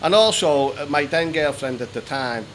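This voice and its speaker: A man's voice: on the high side, animated, normal speed. Chatty British man, noisy room. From England. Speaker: interview guest, British pub owner. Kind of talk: casual conversational storytelling. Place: small decorated indoor room. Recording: noisy room with background hum.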